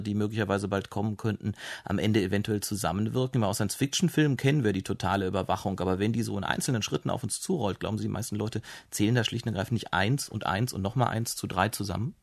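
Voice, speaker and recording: A man's voice: on the low side, steady tone, quick pace, vocal fry, hardly any pauses, no room sound, studio quality. A man working as a radio interviewer, building long, conversational questions with frank criticism.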